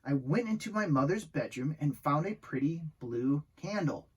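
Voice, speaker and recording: A man's voice: a little high, expressive, normal speed, slightly rough, low-quality recording. A man voicing an earnest, childlike young dog in a children's storybook, speaking simply to kids. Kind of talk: children's storybook read aloud.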